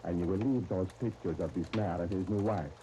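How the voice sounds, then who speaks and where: An actor playing a sly, obliging accomplice in a 1930s radio soap opera, speaking in a measured, knowing way. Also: male voice, sits low, warm tone, natural voice. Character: sly, obliging male accomplice in a shady scheme. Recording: noisy 1930s radio recording.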